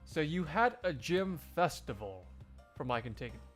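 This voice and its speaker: A man's voice: a little high, sing-song, normal speed, faint music behind. A man chatting casually as he hosts a one-man live fandub stream. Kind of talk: casual livestream chat.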